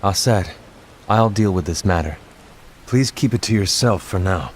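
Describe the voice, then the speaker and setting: A man's voice: low voice, slight background noise, close to an everyday voice. A man playing a stern dutiful leader. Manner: calm, measured, firm. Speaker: game character. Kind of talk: video game voice acting.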